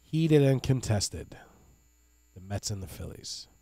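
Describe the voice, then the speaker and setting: A man's voice: on the low side, lively intonation, slow pace, mellow. Enthusiastic man podcasting. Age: 30s. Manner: enthusiastic, hype-man plugs. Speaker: podcast co-host. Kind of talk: casual sports podcast chat.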